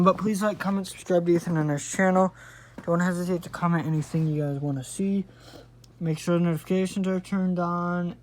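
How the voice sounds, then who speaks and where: A man's voice: a little high, a little mellow. Casual, rambling male vlogger. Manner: apologetic, informal, meandering. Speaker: video channel host. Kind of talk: casual, rambling vlog talk to viewers.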